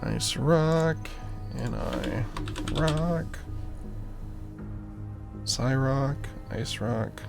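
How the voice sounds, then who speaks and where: A man's voice: mid-range voice, extremely slow, gravelly, pauses often, music in the background. A man giving casual gameplay commentary, thinking aloud and muttering to himself with playful asides. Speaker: gaming channel host.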